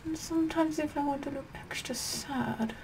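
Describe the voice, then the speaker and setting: A woman playing a sassy, vain, bossy queen in an ASMR roleplay, half-whispering in a pompous, deliberate manner. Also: high-pitched, slight background noise, pitched above the performer's own voice. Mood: smug, instructive. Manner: pompous, deliberate, repeats words softly. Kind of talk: ASMR roleplay makeup tutorial, whispered and soft-spoken.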